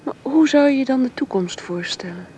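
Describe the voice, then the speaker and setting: A woman playing a shy, gentle young woman being courted, speaking hesitantly and dreamily, often in a whisper, in a radio drama. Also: sits high, lively intonation, a little fast, a little hiss or hum. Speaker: Marion.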